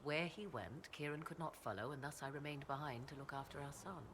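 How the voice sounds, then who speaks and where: A woman's voice: deep voice, fairly quick, noisy background, close to an everyday voice. An actress playing a proud, aloof female witch and mother in a fantasy video game cutscene. She speaks in formal, archaic diction with a haughty, dry manner.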